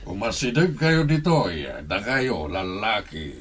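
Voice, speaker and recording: A man playing a calm, shrewd older homeowner in an audio drama, speaking deliberately in a husky voice. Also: fairly low pitch, heavily acted. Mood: commanding.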